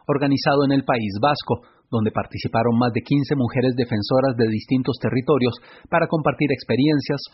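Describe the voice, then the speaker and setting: A man reading scripted radio news in a measured, formal way. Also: mid-range voice, breathy edge. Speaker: news presenter. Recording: dull, muffled radio sound.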